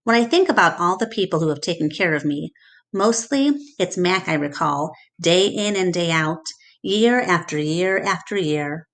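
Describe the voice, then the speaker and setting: A woman reading a children's story aloud as expressive narration. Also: fairly low pitch, normal speed.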